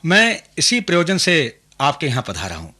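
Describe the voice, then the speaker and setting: An actor playing a polite, humble visiting minister in a radio drama, formal and slightly hesitant. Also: male voice, fairly high pitch, huge pitch swings, normal speed, pushed and bright, exaggerated voice. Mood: humbly polite. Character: deferential, polite visiting minister seeking a match. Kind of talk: Hindi radio drama acting.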